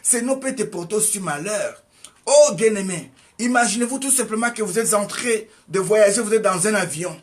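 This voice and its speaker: A preacher delivering an exhorting sermon with a high voice. He fires rhetorical questions at his listeners and swings between loud outbursts and soft passages. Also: animated, normal speed. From Congo. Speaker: evangelist preacher.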